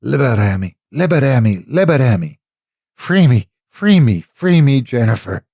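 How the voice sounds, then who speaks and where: A man's voice: medium pitch, sing-song, normal speed, warm tone, no echo, clean studio sound, voice pushed up. Man voicing a choking, pleading corpse-faced creature. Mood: desperate pleading. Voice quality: choked, mouth clogged. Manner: desperate repeated begging.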